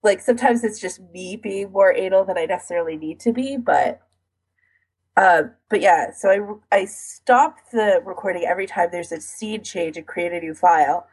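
A woman's voice: mid-range voice, moderate pace, a little mellow, breathy edge, low-quality recording. A woman co-hosting a casual podcast, chatting and explaining her routine in detail in an informal way. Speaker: podcast co-host.

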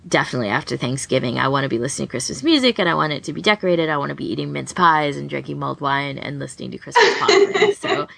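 A woman with a deep voice and plenty of vocal fry talks casually, like a podcast co-host among friends. She recommends things in an informal way, restarting and rewording her thoughts as she goes. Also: very animated, fast talker, few pauses, fairly bright tone. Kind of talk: casual chat, giving recommendations.

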